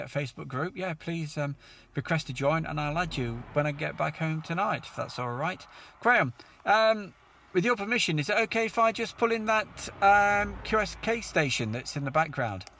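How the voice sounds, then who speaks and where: Bright-voiced man running a radio net. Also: a little high, slight background noise. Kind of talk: casual amateur radio chat. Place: mobile radio setup.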